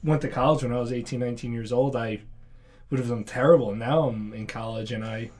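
A man's voice: medium pitch, fairly even intonation, fairly quick, darkish tone. A man in his twenties, a former marine guest, talking earnestly over drinks, laughing easily and trailing off. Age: 20s. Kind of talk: casual chat over drinks.

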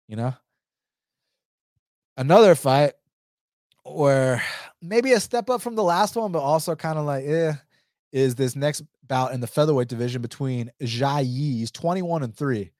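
A man's voice: mid-range voice, darkish tone, no room sound, studio quality. A young American man giving casual, profane fight-pick commentary, talking straight to his viewers. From United States. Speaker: MMA fight picks commentator.

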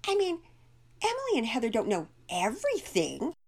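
A woman's voice: mid-range voice, sing-song, normal speed, darkish tone, voice pushed up. A woman voicing a sulky, edgy young girl in an audiobook story, with a whiny, defensive manner. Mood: snotty, defensive.

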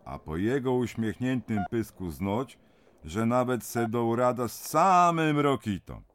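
An actor playing a tough, blunt Silesian miner in a radio drama, speaking in thick dialect. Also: male voice, mid-range voice, expressive, measured pace, a bit bright. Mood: dismissive. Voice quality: earthy, dialect-heavy speech.

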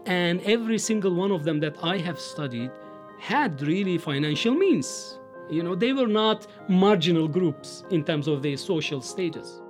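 A man from Turkey, a history scholar, explaining in a measured way and stressing key words. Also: high-pitched, animated, soft background music. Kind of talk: interview excerpt, explanatory.

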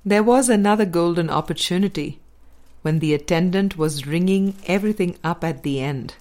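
A woman narrating a spiritual dialogue book as a scripted audiobook reading, with measured, deliberate phrasing and pauses. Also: fairly low pitch, a little mellow. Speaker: audiobook reader.

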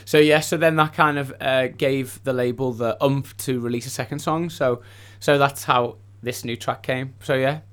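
A man, a singer being interviewed in a radio studio, chatting casually in an effusive, grateful way at a medium pitch. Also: fairly even intonation, normal speed, fairly bright tone, smooth.

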